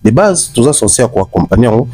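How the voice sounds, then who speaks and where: Emphatic Congolese man, mellow dry voice. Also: mid-range voice, big pitch swings, quick pace, no echo. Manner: emphatic, mixes in Lingala words. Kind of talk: street interview.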